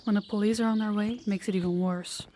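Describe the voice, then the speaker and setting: A woman's voice: mid-range voice, steady tone, quick pace, dark tone, slight background noise, close to an everyday voice. Woman acting as a composed, commanding female crime boss. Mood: urgent. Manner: businesslike, authoritative. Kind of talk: scripted audio roleplay acting.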